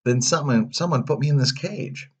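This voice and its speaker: A man voicing a naive, excitable young puppet boy in a storybook, with an eager and hesitant childlike manner. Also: medium pitch, normal speed, husky, pitched above the performer's own voice, close to an everyday voice. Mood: unsure, curious. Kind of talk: storybook character voice.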